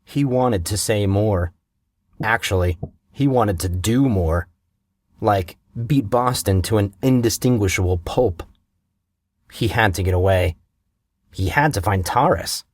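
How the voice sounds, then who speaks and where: A man narrating a fantasy novel in a measured, steady storytelling manner, recorded on a close mic. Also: on the low side, no echo.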